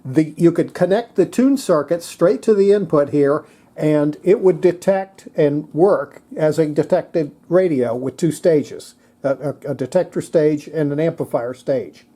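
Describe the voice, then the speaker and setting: A presenter giving a casual hands-on technical demonstration, thinking aloud in a halting way. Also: medium pitch, mellow. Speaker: presenter demonstrating antique radios.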